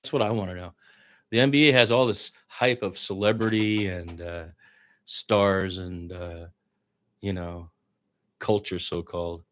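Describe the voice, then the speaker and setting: A man's voice: sits low, fairly even intonation, a little slow, fairly bright tone. A man giving informal radio-style basketball play-by-play, with blunt, conversational and opinionated asides. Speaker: play-by-play sports commentator.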